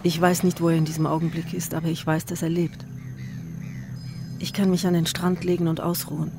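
A woman's voice: fairly low pitch, little pitch movement, fairly quick, creaky, over music. An actress playing an intense, weary, anguished woman in a literary radio drama. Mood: weary, yearning.

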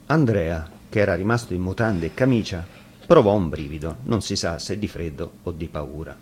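Low-voiced man reading a fairy tale. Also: normal speed, a little mellow, a little hiss or hum. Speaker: storyteller.

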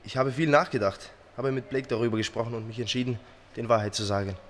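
Man playing an earnest, halting immigrant farmhand witness. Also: medium pitch, steady tone, a little hiss or hum, natural voice. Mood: solemn, resolute. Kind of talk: radio crime drama acting.

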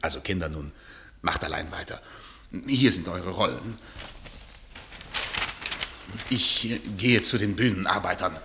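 An actor playing a bossy, wry middle-aged theatre director in a German radio drama, swinging between muttered asides and barked orders. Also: male voice, low-pitched, lively intonation, normal speed, pushed and bright, a little hiss or hum. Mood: quiet, coaxing.

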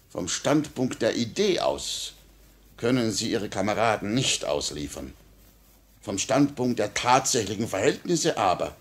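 An actor playing a smug, cynical police chief in a 1950 radio play, suave and sardonic, coaxing and mocking in a measured theatrical way. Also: male voice, lowish voice.

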